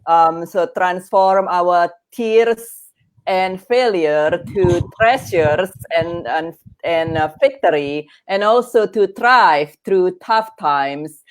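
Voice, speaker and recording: An earnest middle-aged woman, Indonesian by birth, speaks in a somewhat low voice. She chats as a podcast guest, filling her sentences with ums and restarting her thoughts. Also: slow. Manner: earnest and chatty, many fillers and restarts. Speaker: guest, veterinary oncologist and grief coach. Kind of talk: podcast interview.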